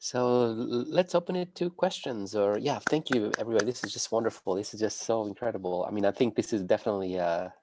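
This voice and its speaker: A man's voice: on the low side, fast, few pauses, background noise. A man moderating an academic discussion off the cuff, effusive and wordy, often searching for the next word. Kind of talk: spontaneous event moderation.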